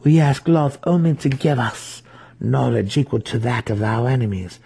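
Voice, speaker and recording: A man reading a story aloud, voicing a proud dark elf matron who pleads with a raised, forceful voice. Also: medium pitch, fairly even intonation, moderate pace, creaky, slightly rough, pitched above the performer's own voice. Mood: pleading, forceful.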